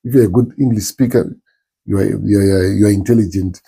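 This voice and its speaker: Husky zimbabwean guy, middle-aged, talks slow and thoughtful in an interview. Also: fairly low pitch, steady tone, warm tone. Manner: thoughtful, pauses with ums and restarts. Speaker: interview guest, musician. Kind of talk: relaxed interview talk.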